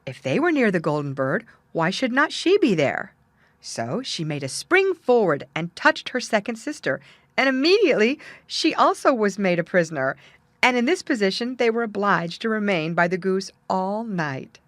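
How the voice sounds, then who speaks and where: A woman narrates a fairy tale with lively, animated intonation. Her pitch sits in a middle range, and the recording is dry. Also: normal speed, no echo. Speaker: storyteller.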